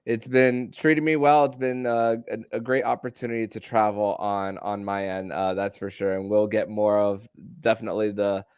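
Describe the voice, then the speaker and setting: A man's voice: on the low side, level tone, normal speed, darkish tone, smooth, no echo. A man hosting a sports podcast, talking with energy and often restarting his sentences. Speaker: podcast host.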